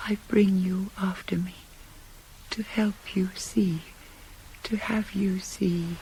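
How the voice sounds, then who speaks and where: A woman's voice: lowish voice, a little mellow, poor audio. A woman playing a proud, anguished queen bent on death in a radio tragedy, declaiming and falling into intense whispers. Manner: heightened, declamatory, often dropping to intense whispers. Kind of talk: 1980s radio drama, Greek tragedy. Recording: hissy radio play taped on cassette.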